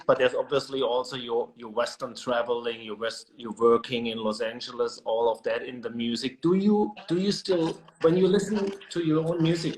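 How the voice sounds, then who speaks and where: A man's voice: mid-range voice, a little mellow, low-quality recording. A man interviewing a musician, asking long, winding questions.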